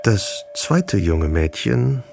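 A man narrating a literary radio drama in a measured, composed way. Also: lowish voice, sing-song, creaky, heavily acted. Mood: composed, descriptive. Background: music.

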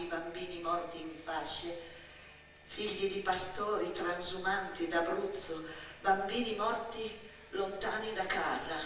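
A woman in a theatrical radio monologue, playing a dramatic, brooding little girl who speaks poetically in deliberate short phrases. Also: on the low side, a bit bright. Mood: eerie wonder. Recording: echoey stage sound, distant, faint noise.

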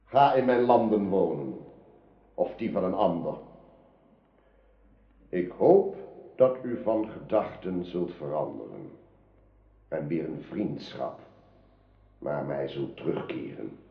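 Earnest young king, slow and stately. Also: low-pitched, expressive, creaky, full character voice. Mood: solemn, merciful. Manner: solemn, formal, declamatory. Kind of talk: radio drama acting. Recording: roomy, dull archived radio play.

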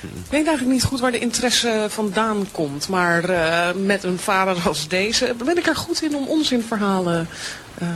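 A woman's voice: medium pitch, lively intonation, normal speed, hardly any pauses, a bit bright, slight background noise, lightly acted. A woman playing a sober, sceptical grown daughter who works as a lawyer, matter-of-fact and teasing in a radio play. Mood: dry, frank. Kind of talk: improvised radio play dialogue.

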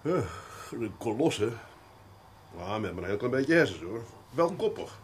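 A man playing a seasoned, world-weary police detective in a radio drama, deliberate and mock-agreeable, often repeating words as he probes. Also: medium pitch, sing-song, slight background noise, close to an everyday voice. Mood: weary, wry.

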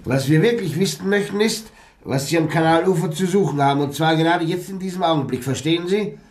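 A man's voice: a little high, fairly quick, roomy sound, lightly acted. An actor playing a cold, falsely polite male gangster in a 1960s radio crime drama. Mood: interrogating. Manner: smooth mock-courtesy over threats.

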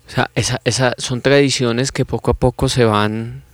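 A man's voice: mid-range voice, fairly even intonation, moderate pace, no room sound. A man hosting an interview, asking questions with many restarts and repeated words. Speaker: interviewer host. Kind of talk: interview conversation.

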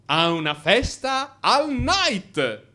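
Male for radio drama: agitated, frustrated man confessing to his analyst, theatrical, very wide pitch swings. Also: very animated, drawn-out words, bright, forceful tone, exaggerated voice. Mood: excited, scheming. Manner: theatrical, swinging between muttering and outbursts. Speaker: actor in a radio monologue.

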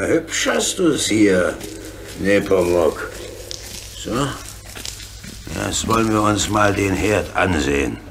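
An easygoing, fatherly engine driver in a children's radio play. The delivery swings freely in pitch and is reassuring, with thoughtful pauses. Clear noise sits behind the voice. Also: full character voice. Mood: busy, practical. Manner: easygoing, avuncular, hesitant pauses. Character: jovial, reassuring adult male locomotive driver. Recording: noisy background with hiss.

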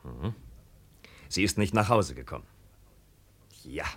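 An actor playing a hard, greedy farmer in a 1950s German radio play, sneering, cutting and overbearing. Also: male voice, sits low, lively intonation, slow pace, fairly bright tone, husky, full character voice. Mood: mocking. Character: hard, greedy, scornful farmer son-in-law. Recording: vintage 1950 radio recording.

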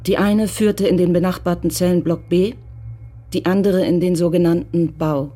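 A woman giving calm, measured scripted narration for a radio crime drama. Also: on the low side, slightly rough.

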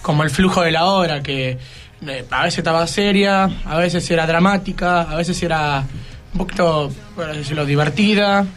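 Higher-voiced teenage male student. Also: moderate pace, fairly bright tone. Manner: hesitant, searching for words. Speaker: student guest on school radio show. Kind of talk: reading a report and informal opinion. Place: radio studio. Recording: music behind the voice.

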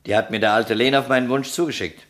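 An actor playing a skeptical, needling police detective in a crime radio play, brisk and dismissive as he questions. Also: medium pitch, steady tone, fairly bright tone, natural voice. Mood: probing, businesslike. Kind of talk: vintage German crime radio play acting.

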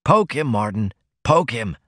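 A man's voice: medium pitch, sing-song, a little slow, a bit bright, no echo, clean studio sound. A man voicing a sickly, dying man with a sardonic, solemn, goading manner in a storybook reading. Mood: wry urging. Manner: solemn, meditative, urging.

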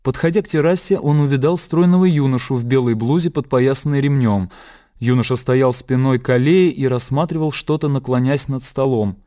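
A man reading literary narration for a radio drama in a composed, measured storytelling manner. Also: medium pitch. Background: soft music.

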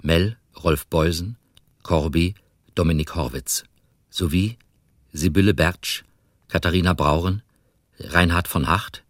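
Formal male credits announcer, deep and unhurried, dry studio sound. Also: dry recording. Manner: slow, measured, formal reading.

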